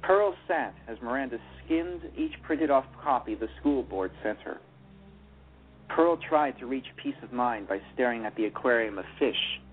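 A man's voice: mid-range voice, animated, normal speed, slightly rough. A man narrating a radio play who stumbles and restarts over his words while reading. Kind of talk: reading a story aloud. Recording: tinny phone-line sound.

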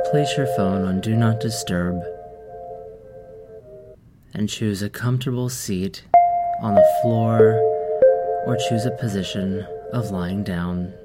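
A man with a fairly low voice leads a guided meditation over clear background music. He speaks very slowly and gently, leaving long pauses between soothing instructions.

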